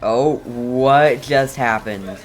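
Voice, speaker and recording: A player giving casual, halting commentary on a video game while playing, reacting in short murmured asides. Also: mid-range voice, faint music behind. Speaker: game streamer. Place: voice-over on game footage, game music behind.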